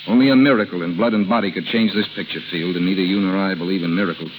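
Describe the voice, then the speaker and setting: A man's voice: sits low, steady tone, very fast, background noise, natural voice. A man acting in a 1930s radio drama as a determined, earnest lover, speaking in an insistent, forthright way. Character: determined, earnest male lover confronting his rival. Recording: old 1930s radio recording, music behind.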